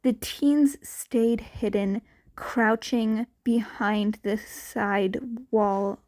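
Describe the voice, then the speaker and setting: Slow-paced woman reading a story. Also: a little high, steady tone, darkish tone. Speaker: amateur audiobook narrator. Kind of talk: expressive scripted fan fiction narration.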